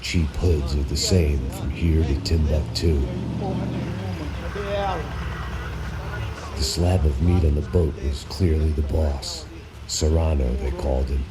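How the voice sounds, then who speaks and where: Very deep, weary American antihero. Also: male voice, fairly even intonation, normal speed, over a noisy crowd. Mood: grimly sardonic. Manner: world-weary, sardonic, terse. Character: weary, bitter, hard-drinking American gringo, self-appointed bodyguard. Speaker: narrating protagonist. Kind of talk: hardboiled noir voice-over narration.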